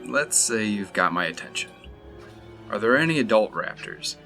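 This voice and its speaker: Man playing low-voiced, curious dinosaur expert. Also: animated, normal speed, bright, forceful tone. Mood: curious, guarded. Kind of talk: fan audio drama acting. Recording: music under the voice.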